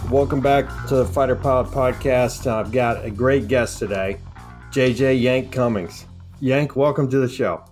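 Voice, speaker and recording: A man's voice: medium pitch, moderate pace, a little mellow, faint music behind. A middle-aged man co-hosting a podcast, a friendly, upbeat interviewer who laughs easily. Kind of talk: casual podcast chat.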